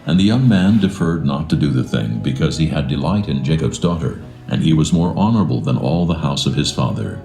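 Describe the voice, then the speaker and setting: Male narrator, solemn, measured, dark mellow tone, roomy echo, soft music underneath, dramatised scripture. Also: rumbling low, lively intonation, creaky.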